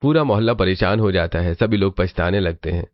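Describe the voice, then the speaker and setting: Low-pitched male story narrator. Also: fairly quick, dry recording, muffled recording.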